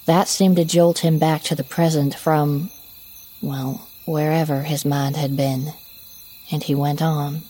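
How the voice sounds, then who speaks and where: A woman narrating a horror story in the first person as a shaken daughter looking back, with measured, reflective phrasing. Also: deep voice, flat delivery, dark tone, husky. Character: shaken daughter recounting a frightening childhood memory.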